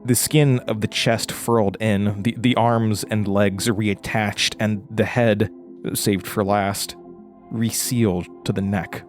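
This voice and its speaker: An actor playing a haunted, frightened man recounting a horror ordeal in a halting, intense way, as in an audio drama. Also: on the low side, fairly even intonation, soft background music. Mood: haunted, tense. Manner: halting, stammering over words, intense. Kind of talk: first-person horror audio drama narration.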